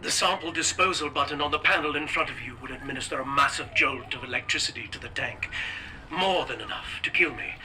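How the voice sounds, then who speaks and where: An actor playing a doomed male scientist in a game, speaking formally and gravely, pleading and resigned. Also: medium pitch, background noise, natural voice. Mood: grave resolve. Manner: formal, measured, sorrowful. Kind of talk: dramatic game voice acting.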